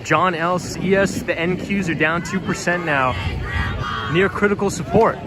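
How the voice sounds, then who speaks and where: A man's voice: high-pitched, moderate pace, a loud crowd. A man hosting a live stream outdoors on a windy city street at night, chatting casually and reading out viewer comments, his voice a little tired. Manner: friendly, reads out viewer comments. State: voice slightly tired. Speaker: livestream host reading chat. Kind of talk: casual live-stream chat while walking. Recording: street stream with clear music behind.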